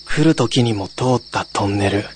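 A man's voice: lowish voice, normal speed, darkish tone, slight background noise, natural voice. A man playing a young male college student who tells a ghost story in a radio drama. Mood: somber, reflective. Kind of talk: radio drama narration with acted dialogue.

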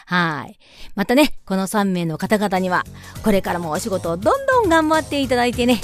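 A woman's voice: mid-range voice, very animated, a little fast, faint music behind. A woman hosting a casual radio chat, mixing polite speech with playful teasing. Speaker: radio show host.

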